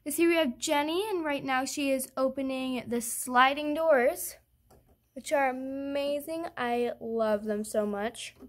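A young woman giving a casual, chatty show-and-tell tour of her toy barn setup with a handheld phone camera, pausing on fillers. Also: sits high. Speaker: channel host.

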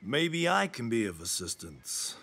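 Smug, animated cartoon stallion. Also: male voice, medium pitch, unhurried, creaky, over loud music. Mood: cool, mysterious. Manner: laid-back, self-important, theatrical. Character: cool, smug, self-styled wild stallion. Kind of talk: preschool cartoon voice acting.

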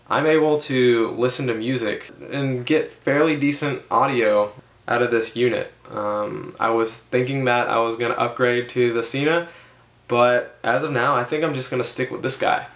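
A man in his twenties giving a laid-back, halting, casual product review to camera as a motorcycle vlogger. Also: mid-range voice, fairly bright tone. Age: 20s. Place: small bedroom, indoors. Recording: roomy, echoey indoor sound.

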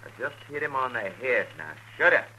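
Menacing, volatile outlaw. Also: male voice, mid-range voice, sing-song, a little fast. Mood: threatening. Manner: curt, threatening. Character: menacing, bullying outlaw killer on the run. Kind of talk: 1950s radio western acting. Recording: noisy vintage 1950s radio recording.

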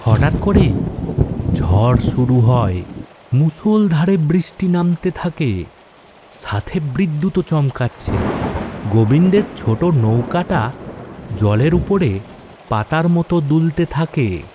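A man's voice: a little high, sing-song, takes it slowly, long pauses, noisy background. A man narrating a scripted horror story in a dramatic storytelling style. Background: background music.

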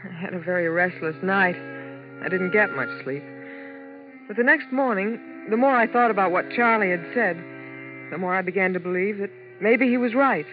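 A woman's voice: medium pitch, normal speed, dull audio, natural voice. Actress as tired Vegas showgirl, female lead and narrator, vintage radio thriller, band-limited with music behind. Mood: tired, wary. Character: weary Las Vegas showgirl recounting her ordeal. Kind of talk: 1950s radio drama acting, first-person narration.

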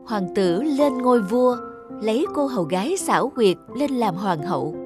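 A woman's voice: a little high, expressive, moderate pace. A woman reading a scripted fairy tale as its narrator. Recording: music under the voice. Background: music.

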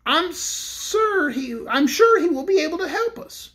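A woman's voice: very high-pitched, very animated, a little slow, a bit bright, voice pushed up, full character voice. A woman voicing a determined woman cook who speaks in an earnest, pleading way.

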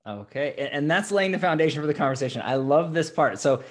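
A man's voice: a little high, lively intonation, fast talker. A young man hosting an interview podcast over a video call, upbeat and effusive, often repeating himself. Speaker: podcast host. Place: home office, indoors. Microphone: studio mic with headset, video call.

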